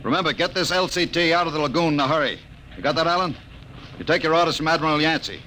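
An actor playing a curt, commanding, hard-nosed general in a classic radio drama. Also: male voice, a little high, fast, music in the background, close to an everyday voice. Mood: stern. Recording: noisy old radio drama recording.

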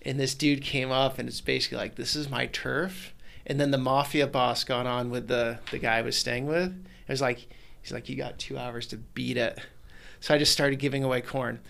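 A man in casual conversation, telling animated, rambling stories about his travels and documentary work. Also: mid-range voice, gravelly. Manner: animated storyteller, rambling with restarts. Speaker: guest, documentary maker.

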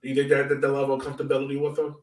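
A man's voice: medium pitch, monotone, rapid-fire, darkish tone. A man's voice sounds roomy and set back from the microphone, with plenty of echo. He talks like a podcast co-host in casual sports chat, telling stories in loose, slangy speech. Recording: roomy echo, distant from mic.

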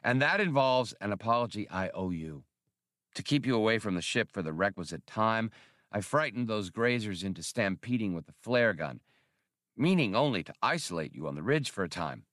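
A man's voice: fairly low pitch, expressive, normal speed, fairly bright tone, no echo, studio quality. A man voicing a fussy, whiskered alien bureaucrat in an audiobook, with a lisp and formal, precise, wordy speech. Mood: chagrined, apologetic. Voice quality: lisping.

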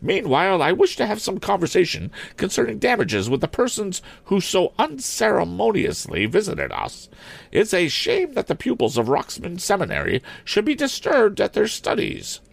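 A man's voice: high voice, wildly swooping pitch, normal speed, gravelly, no room sound, voice pushed up, exaggerated voice. A man voicing a stern, indignant old maid school principal who talks in a haughty, commanding, formal way. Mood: bossy, formal.

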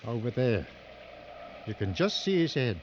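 Commanding big game hunter, dull noisy recording. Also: male voice, mid-range voice, big pitch swings, measured pace. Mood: dry, sardonic. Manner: clipped, authoritative, instructing. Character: seasoned, commanding male big game hunting guide, coolly sardonic. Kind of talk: mid-century American radio drama acting.

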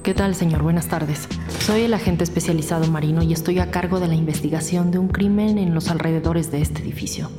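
A woman playing a determined, probing police detective in an audio crime drama, businesslike, with loud music behind her. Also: on the low side, steady tone, fairly quick, natural voice. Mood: polite, businesslike. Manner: businesslike, questioning. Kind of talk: scripted audio drama dialogue.

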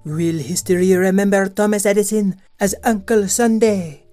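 A woman's voice: mid-range voice, steady tone, normal speed, warm tone, soft background music. A woman narrator and host delivering scripted narration for a children's audio story.

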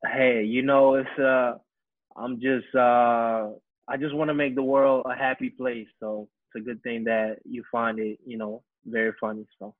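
A man's voice: mid-range voice, flat delivery, a little slow, dry recording. A young man from Senegal, a music producer, answering interview questions with many fillers and hesitations. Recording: phone-like narrow remote line.

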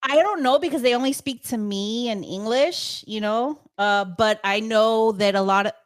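A woman's voice: fairly high pitch, moderate pace, clean tone. A young woman co-hosting a casual podcast, chatty, laughing easily and trailing off with fillers. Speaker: podcast co-host. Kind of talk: casual chat.

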